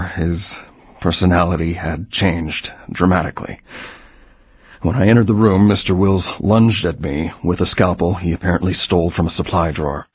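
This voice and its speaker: An actor playing a grave doctor on a Mars base, dictating a measured, clinical audio log for a video game. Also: male voice, deep voice, breathy edge, no echo. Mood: grave, unsettled.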